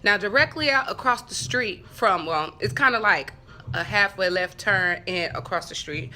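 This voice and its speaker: A woman vlogger telling a story casually and comedically to her viewers, animated and profane, with conversational asides and acted-out dialogue. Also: medium pitch, moderate pace.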